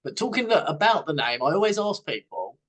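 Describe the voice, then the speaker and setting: A man hosts an interview, speaking in an upbeat, encouraging way as he frames his questions. His voice sits a little higher than most men's. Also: very animated, brisk, fairly bright tone. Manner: enthusiastic, encouraging. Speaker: podcast host. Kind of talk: interview hosting.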